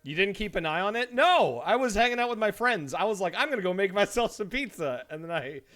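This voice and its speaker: Higher-voiced man chatting on stream over music. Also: fast talker. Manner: animated storytelling, mimics his own reactions; laughs easily while talking. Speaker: live streamer. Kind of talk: casual chat with viewers on a game stream. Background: background music.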